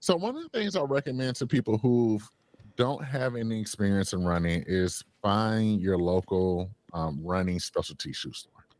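A man's voice: fairly low pitch, expressive, normal speed, darkish tone, no room sound. A man being interviewed as a guest on a radio show, talking with enthusiasm and laughing easily.

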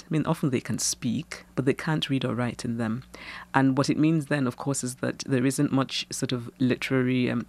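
A Nigerian woman novelist speaks in a measured, thoughtful voice. She reads her own fiction aloud and talks through questions with an easy laugh. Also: a bass voice, a little mellow. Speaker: novelist, guest. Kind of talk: reading her fiction aloud, then interview answers.

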